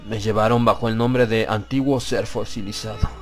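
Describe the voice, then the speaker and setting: A man narrating a horror story in a slow, deliberate, grave and dramatic way, as for an audiobook. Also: on the low side, fairly even intonation, faint music behind.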